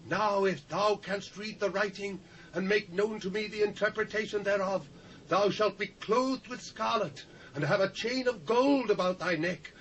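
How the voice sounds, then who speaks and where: A man's voice: high voice, moderate pace, fairly bright tone. An actor with a British accent playing a proud, anxious ancient king in a dramatised Bible reading, declaiming imperiously. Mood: imperious, uneasy. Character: proud anxious Babylonian king addressing a captive sage. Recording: dull hissy old cassette.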